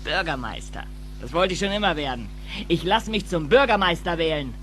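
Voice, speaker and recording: A man's voice: fairly high pitch, lively intonation, brisk, sharp tone, slight background noise. A man playing a proud, ambitious young café worker in dubbed film dialogue, speaking emphatically. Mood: proud, dreamy.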